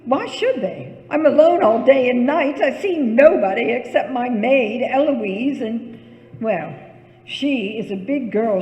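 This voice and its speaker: High-pitched fretful invalid wife played by an actress. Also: female voice, a little mellow. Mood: dismissive, uneasy. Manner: fretful, imperious, stammering when rattled. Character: anxious, demanding bedridden invalid wife, easily panicked. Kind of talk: suspense radio play acting. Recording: echoey hall with long reverb.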